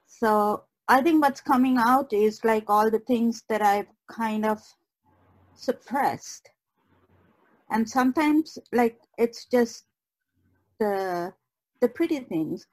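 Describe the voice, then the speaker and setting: A woman guest in a reflective podcast conversation on a video call, speaking haltingly and searching for words. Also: medium pitch, darkish tone, rough recording. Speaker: guest artist.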